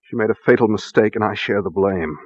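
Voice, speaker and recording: A man playing a grave, haunted husband in a 1940s radio drama, with a measured, formal, slightly husky delivery. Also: on the low side, no echo, close to an everyday voice. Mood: brooding, troubled. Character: grave, secretive husband haunted by his mad brother. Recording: dull, dry vintage radio recording.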